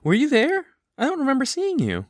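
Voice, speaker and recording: A man's voice: very high-pitched, huge pitch swings, brisk, no echo, studio quality, exaggerated voice. A man playing a polite, principled, well-off teenage boy in an audio drama, earnest and courteous. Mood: sincere, surprised.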